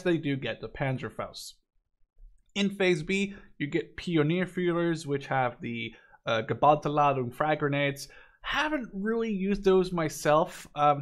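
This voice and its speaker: A man in his twenties giving casual game commentary in a rambling, conversational, opinionated way. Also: fairly high pitch. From Netherlands. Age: 20s. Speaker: gaming video commentator.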